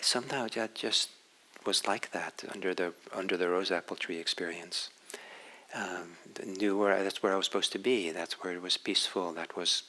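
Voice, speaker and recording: A man from Norway gives a dharma talk as a meditation teacher. His voice sits low yet carries a bright, forward edge. He speaks in an unhurried, reflective way and often pauses to restart his thoughts.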